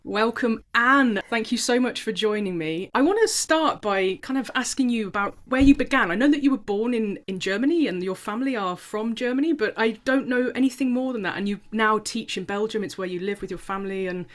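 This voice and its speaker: A woman's voice: fairly high pitch, fairly quick, hardly any pauses. A woman hosting a podcast, introducing her guest enthusiastically and then interviewing her. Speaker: podcast host.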